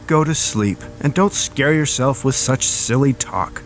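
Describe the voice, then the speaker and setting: A man's voice: medium pitch, animated, a little slow, soft background music, voice pushed up, lightly acted. A man voicing a scornful, sensible elder sister, scolding and dismissive, in a dramatic horror story.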